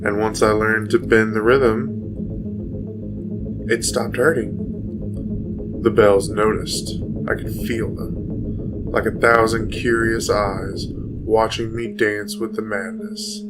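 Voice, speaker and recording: An actor playing a haunted, feverish man changed by otherworldly torment, telling his tale with halting, brooding intensity in a gothic audio drama. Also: on the low side, flat delivery, rough recording. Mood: feverish, entranced. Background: guitar music.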